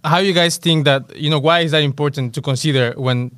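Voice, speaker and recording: A male host putting measured, encouraging questions to his guests. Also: on the high side, a bit bright, no echo. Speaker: host, interviewer. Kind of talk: interview.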